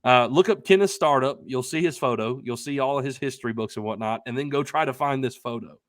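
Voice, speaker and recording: A young man co-hosting a podcast, telling a casual anecdote in a rambling, emphatic, excitable way. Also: mid-range voice, quick pace, dry recording, clean studio sound. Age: young adult. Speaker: podcast co-host telling a story.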